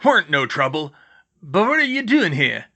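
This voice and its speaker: A man voicing a big, gruff but kindly older giant of a man in a storybook, with rustic, ungrammatical speech. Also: on the high side, big pitch swings, normal speed, pushed and bright, voice pushed up, exaggerated voice. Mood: surprised, relieved. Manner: rustic, ungrammatical, dropped words.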